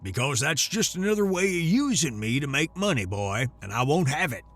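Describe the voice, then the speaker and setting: A man putting on a gravelly, grumpy old grandpa. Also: a little high, sing-song, moderate pace, a bit bright, full character voice. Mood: sarcastic. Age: older. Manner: sarcastic, scolding.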